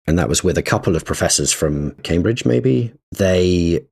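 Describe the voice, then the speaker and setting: A man with a very low, husky voice, chatting as a podcast co-host. He talks in animated bursts, restarting sentences and leaning hard on key words. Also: moderate pace. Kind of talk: casual chat.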